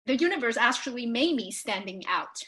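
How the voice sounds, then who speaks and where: A woman's voice: a little high, lively intonation, a little fast, fairly bright tone. A woman from Taiwan, telling her personal story earnestly as an interview guest. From Taiwan, moved to the United States at fifteen. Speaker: podcast guest, author.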